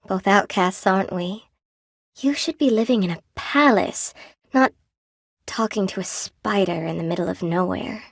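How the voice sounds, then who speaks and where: An actress voicing a lonely, proud spider woman, a cursed weaver in a video game, speaking softly with old-fashioned courtesy and a wry edge. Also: mid-range voice, moderate pace, gravelly, no echo, clean studio sound. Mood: wistful, confiding. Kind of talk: video game character dialogue.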